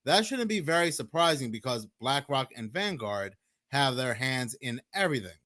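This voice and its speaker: Man from the US, hoarse and chalky, mid pitch, measured commentary. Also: no echo, clean studio sound. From United States. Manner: measured, steady explaining. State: hoarse, sore throat. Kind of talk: explanatory conspiracy commentary.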